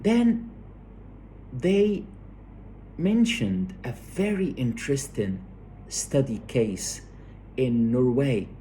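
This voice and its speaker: A man giving an explanatory academic lecture, halting and repeating words as he goes, often checking that listeners follow. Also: mid-range voice, big pitch swings, dark tone, slight background noise, poor audio.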